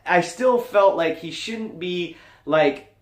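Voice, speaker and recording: An upbeat, high-energy man chatting casually as a vlog host, his voice moving animatedly up and down. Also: slow pace. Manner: animated, high-energy, rambling with swooping register. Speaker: vlogger and host. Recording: echoey room.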